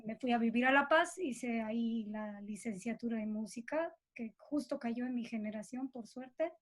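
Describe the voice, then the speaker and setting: High-voiced Bolivian woman, casual and reflective. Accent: Bolivian Spanish from Cochabamba. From Cochabamba, Bolivia. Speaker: interviewee, composer and musician. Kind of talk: casual reflective interview talk.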